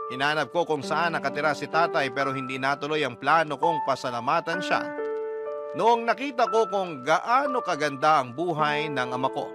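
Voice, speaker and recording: A man's voice: on the high side, close to an everyday voice. An actor narrating as the wistful, self-pitying child of a poor mother in a confessional radio drama. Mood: grateful, reflective.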